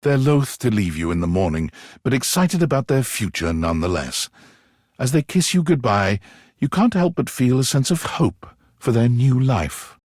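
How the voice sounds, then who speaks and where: A man narrating a video game story in the second person, with measured, theatrical delivery. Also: mid-range voice, big pitch swings, a little mellow, no room sound, clean studio sound.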